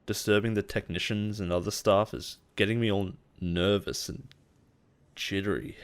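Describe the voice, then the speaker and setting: An actor playing a possessive male boss in an intimate roleplay audio drama, coaxing and quietly menacing. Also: fairly low pitch, close to an everyday voice. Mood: agitated ranting. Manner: soft-spoken coaxing, chuckles and sighs, quietly menacing.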